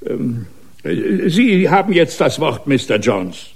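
An actor playing a flustered, polite and indecisive judge with a gravelly, husky voice, hesitating and stammering through a radio drama. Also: male voice, on the high side, big pitch swings, slow pace, full character voice. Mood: bewildered. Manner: hesitant, stammering, repeating words. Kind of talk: German radio drama acting.

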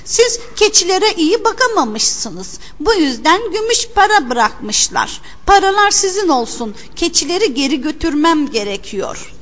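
A woman's voice: very high-pitched, sing-song, a little slow, few pauses, fairly bright tone, pitched above the performer's own voice, exaggerated voice. A woman voicing a cheeky, crafty young shepherd lad in a storybook, with bold, declaiming delivery. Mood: feigned reproach. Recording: long hall-like reverb.

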